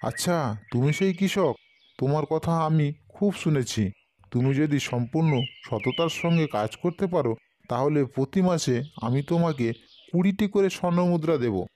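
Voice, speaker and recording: A man's voice: fairly high pitch, animated, moderate pace, darkish tone, slight background noise, lightly acted. An actor voicing a male king in a cartoon, who talks with authority and gives orders. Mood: commanding. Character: stately male king, commanding and generous. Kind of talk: cartoon voice acting.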